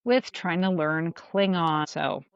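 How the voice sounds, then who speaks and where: A woman's voice: lowish voice, fairly even intonation, slow, smooth, no room sound. A woman chatting casually as a podcast panelist, in a slightly hesitant, rambling way. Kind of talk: casual chat. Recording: dull, slightly lo-fi mic.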